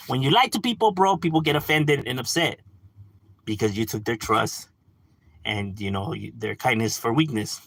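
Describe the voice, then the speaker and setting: A man hosts a casual stream, talking straight into a close, dry mic. His voice is husky and sits a bit high, with a lively, swooping pitch. He argues his point with lots of "bro" and "you know", chuckling as he goes. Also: a little slow, long pauses, no room sound. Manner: animated, argumentative, addresses chat as "bro"; frequent laughs and filler "you know". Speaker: livestream host. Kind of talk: casual livestream rant.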